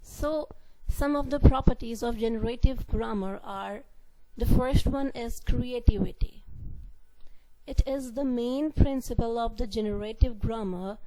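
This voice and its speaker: Mellow-voiced woman giving a grammar lecture. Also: a little high, a little slow, rough recording. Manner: explanatory, repeats and rephrases points, reads out example sentences. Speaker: university lecturer. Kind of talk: classroom lecture.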